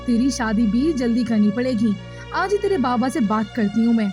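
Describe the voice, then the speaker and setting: A woman acts out a scolding village mother from an animated moral tale. Her voice is high and fretful, set over clearly audible background music. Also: moderate pace, close to an everyday voice. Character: scolding, anxious village mother fretting over daughter's marriage. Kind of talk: animated moral story voice acting.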